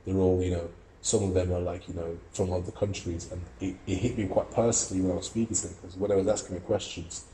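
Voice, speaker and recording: A man's voice: deep voice, flat delivery, fast talker, dark tone, reverberant, a little hiss or hum, poor audio. A young man from Russia, an amateur boxer and student, giving earnest, reflective interview answers with many fillers and restarts. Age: young adult.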